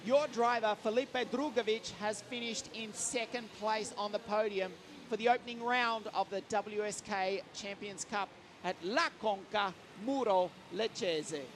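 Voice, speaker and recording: Male karting commentator, high-pitched, enthusiastic, calling results live over loud kart engines. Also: a little slow, a little hiss or hum. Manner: enthusiastic, rattles off names and results. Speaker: race commentator. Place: trackside at a karting circuit in southern Italy.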